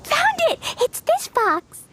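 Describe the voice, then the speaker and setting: An innocent, excitable little girl in an anime-style game. The voice is extremely high and swings up and down, taking its time over childlike phrases, with music behind it. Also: slow, exaggerated voice. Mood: excited. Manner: childlike, simple, eager wording. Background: game background music.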